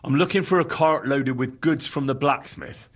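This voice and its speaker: An actor playing a polite, inquisitive young medieval blacksmith's lad with a husky voice, in a video game. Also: male voice, medium pitch, normal speed, fairly bright tone, dry recording, close to an everyday voice. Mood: earnest. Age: young adult. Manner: courteous, inquisitive.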